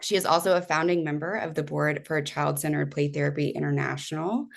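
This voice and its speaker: A woman's voice: low-pitched, steady tone, brisk, creaky. A woman co-hosting a podcast, introducing a guest in a bubbly, chatty way and correcting herself as she goes. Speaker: podcast co-host.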